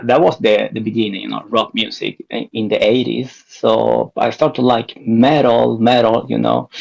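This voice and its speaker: Gravelly, laid-back man from Peru. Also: fairly low pitch, darkish tone, lo-fi sound. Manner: loose, rambling, swears and laughs easily. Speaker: guest band member. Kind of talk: casual podcast chat.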